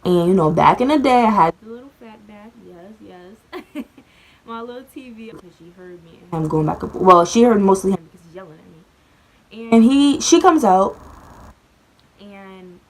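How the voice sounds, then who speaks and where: A young woman vlogger telling a childhood story in casual chat, rambling with many fillers and restarts, her voice often dropping very quiet. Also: mid-range voice, animated, normal speed, poor audio.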